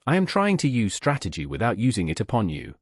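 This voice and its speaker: A man voicing a principled, self-restrained Regency duke in an audiobook, speaking earnestly and deliberately. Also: on the low side, huge pitch swings, dry recording, studio quality.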